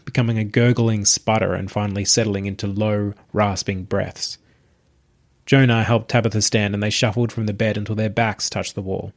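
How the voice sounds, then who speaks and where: A man narrating a scripted short story as its reader. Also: lowish voice.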